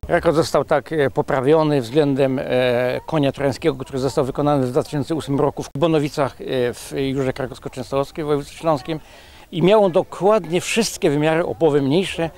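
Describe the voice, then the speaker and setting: A man's voice: on the high side, steady tone, fairly quick, darkish tone. An older man, a records adjudicator, talking in a wordy, hesitant way with many fillers in an outdoor interview. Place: outdoors at an event site.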